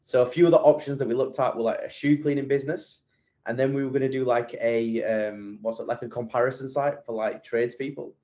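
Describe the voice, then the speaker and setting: A man's voice: mid-range voice, fairly even intonation, fairly quick, warm tone. A man, a podcast guest chatting casually and rambling with plenty of fillers and restarts. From England. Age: 20s. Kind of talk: casual interview chat.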